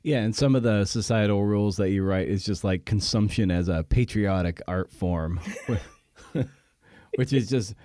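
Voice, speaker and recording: A man's voice: fairly low pitch, unhurried, dark tone, dry recording, clean studio sound. A man hosting a podcast in a casual interview chat, with informal, rambling phrasing. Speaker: podcast host.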